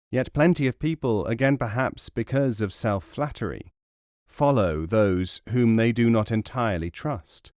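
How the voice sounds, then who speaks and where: A man reading an essay as a scripted audiobook narration, in a measured, formal way. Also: lowish voice, darkish tone, no room sound, clean studio sound.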